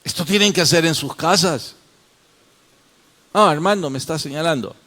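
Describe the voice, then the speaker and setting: A man's voice: on the high side, bright, forceful tone. A man leading a Bible study as pastor, questioning the class and calling on students by name. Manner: slow, deliberate explanations.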